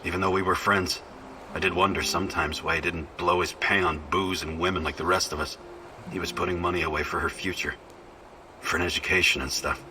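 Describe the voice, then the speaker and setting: An actor playing a gruff, loyal male mercenary in a video game, speaking in an earnest, plain-spoken, sombre way. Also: deep voice, background noise, lightly acted. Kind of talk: video game character acting.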